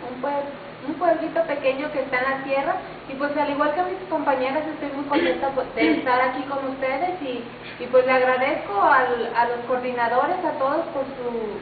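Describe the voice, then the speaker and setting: A woman in her twenties with a Mexican accent introducing herself as a pageant contestant. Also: sits high. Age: 20s. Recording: tinny, echoey recording with hiss.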